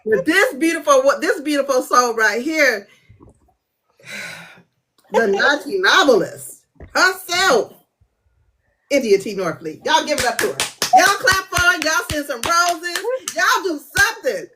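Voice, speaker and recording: Lively, playful woman hosting a podcast. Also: high voice, a little slow, noisy background. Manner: loud, playful, hyping up the guest. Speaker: podcast host. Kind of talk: casual interview chat.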